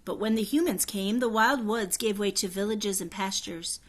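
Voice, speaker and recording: A woman voicing a regal fairy queen in a children's storybook, proud and solemn, with a high, clear, stately voice. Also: normal speed, lightly acted. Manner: stately, declamatory. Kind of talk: children's storybook read-aloud.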